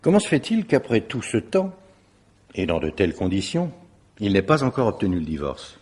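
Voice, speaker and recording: An actor playing a courteous, probing police officer in a French radio mystery drama, formal and politely insistent. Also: male voice, fairly low pitch, huge pitch swings, normal speed, darkish tone, breathy edge, full character voice. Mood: inquisitive.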